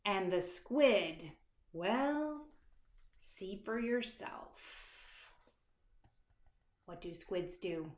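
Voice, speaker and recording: In an echoey room, a woman reads a children's picture book at an unhurried pace. Her delivery is dramatic and expressive, stretching words and dropping to a hush, as if speaking straight to small children. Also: medium pitch. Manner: pauses to address young listeners with questions. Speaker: author reading her own picture book. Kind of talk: expressive children's storybook read-aloud.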